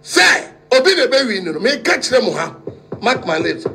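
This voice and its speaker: A man's voice: very high voice, very animated, normal speed, creaky, pushed and bright. A Ghanaian-accented man giving heated, emphatic political commentary on radio, mixing Twi and English in a combative way. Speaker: radio show panelist. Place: radio studio. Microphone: studio broadcast microphone.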